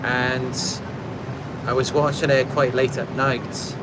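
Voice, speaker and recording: Welsh man, unhurried, noisy background. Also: medium pitch, little pitch movement. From Wales. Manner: reflective, unhurried, with fillers and restarts. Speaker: channel host reading viewer comments. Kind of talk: casual talk to camera.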